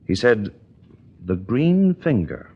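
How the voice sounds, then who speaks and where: An actor playing an earnest, dogged British chief inspector, deliberate and emphatic, in 1940s radio drama style. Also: male voice, medium pitch, very animated, slightly rough, exaggerated voice. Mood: reporting, deliberate. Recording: dull, band-limited 1940s radio recording.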